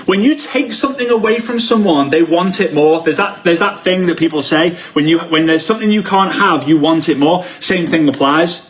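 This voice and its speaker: A man from Liverpool with the local accent and a fairly high voice coaches an online audience. He is excitable and emphatic, hammering home phrases by repeating them in a motivational style. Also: normal speed, hardly any pauses. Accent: Liverpool English. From Liverpool, United Kingdom. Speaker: network marketing coach. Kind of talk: motivational live online training talk.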